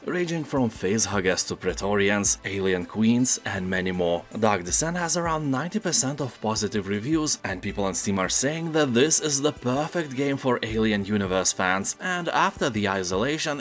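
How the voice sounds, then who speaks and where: A man presenting game deals in scripted narration, slipping in dry, joking asides. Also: fairly low pitch.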